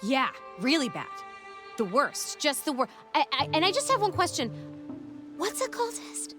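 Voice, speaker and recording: Young game heroine, high bouncy voice, cheeky, eager, excitable, music behind. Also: female voice, moderate pace. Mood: curious, determined. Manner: excitable, wide-eyed, cheeky. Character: plucky, eager, cheeky young adventurer girl. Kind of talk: animated family adventure game acting.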